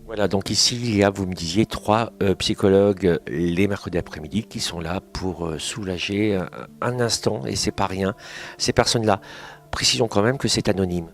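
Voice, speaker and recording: Man, radio host, creaky lowish voice, conversational, short summarising phrases, music behind. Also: normal speed. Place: small kitchen of a community family centre.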